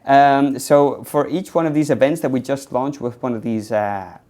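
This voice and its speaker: A man from Spain, the CEO of a tech hub, answering interview questions in a relaxed storytelling way with frequent ums and uhs, recorded indoors in an office building. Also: medium pitch, moderate pace.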